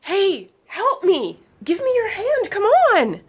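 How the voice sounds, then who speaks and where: A woman voicing a determined, frightened young girl hockey player, calling out in urgent, commanding shouts for a storybook. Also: very high-pitched, huge pitch swings, takes it slowly, lo-fi sound, pitched above the performer's own voice, heavily acted. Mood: pleading, urgent.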